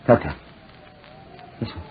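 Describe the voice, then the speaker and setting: A man's voice: a little slow, natural voice. Man acting tormented old man, scratchy vintage radio. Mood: frantic, terrified. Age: older. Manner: fraught, melodramatic, repeats phrases. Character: tormented, paranoid old man, haunted and desperate. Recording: scratchy, noisy, tinny 1940s radio recording.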